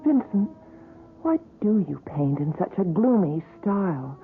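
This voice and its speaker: An actress playing a gentle woman who coaxes her troubled companion to open up, asking soft questions in a radio drama. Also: fairly low pitch, big pitch swings, measured pace. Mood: coaxing. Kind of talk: 1970s radio drama acting. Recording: tinny old radio broadcast, faint music.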